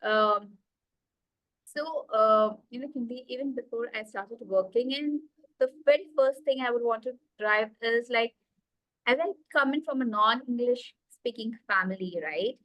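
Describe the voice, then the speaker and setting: A woman with an Indian accent tells her story eagerly on a video call, her voice sitting a bit high. She talks with many fillers and asides. The sound is dry and close. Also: normal speed, fairly bright tone, no echo, low-quality recording. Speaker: guest, executive coach. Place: small room indoors.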